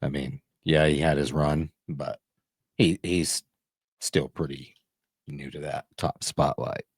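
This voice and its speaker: A man's voice: extremely low pitch, fairly even intonation, slow, husky, no room sound, clean studio sound. A man co-hosting a podcast, giving his predictions in casual, conversational sports talk. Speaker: podcast co-host.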